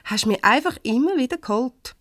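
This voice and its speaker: An actress playing a defiant, quick-tongued young employee in a radio play, sharp and sarcastic, with a Swiss German accent. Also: female voice, high-pitched, expressive, dry recording, studio quality. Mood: indignant.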